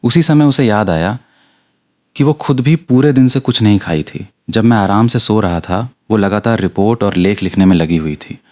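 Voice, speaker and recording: A man's voice: lowish voice, moderate pace, a little mellow. Man narrating a scripted story. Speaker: audiobook narrator.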